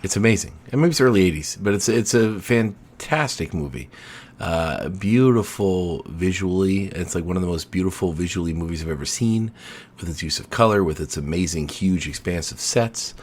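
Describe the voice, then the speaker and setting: A man hosting a podcast in a relaxed, rambling chat, his baritone voice a little hoarse. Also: normal speed. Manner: laid-back, filler-heavy rambling. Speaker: podcast host.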